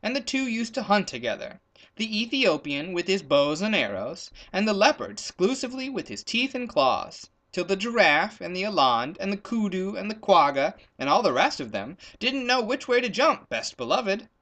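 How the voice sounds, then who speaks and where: A man's voice: high voice, big pitch swings, normal speed, fairly bright tone. A man reading a children's story aloud expressively, with playful emphasis on rhythmic lists of words.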